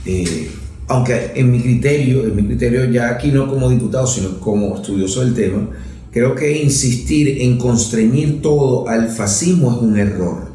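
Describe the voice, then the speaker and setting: A man's voice: medium pitch, mellow. Professorial Venezuelan man in an echoey room. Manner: professorial, analytical, explains with examples. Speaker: lawmaker guest. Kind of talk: interview conversation.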